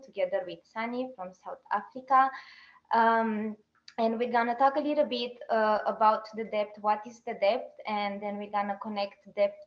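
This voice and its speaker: Romanian woman giving a halting talk. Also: a little high, roomy sound, dull audio. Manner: halting, with restarts and fillers. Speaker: activist speaker. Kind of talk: online talk.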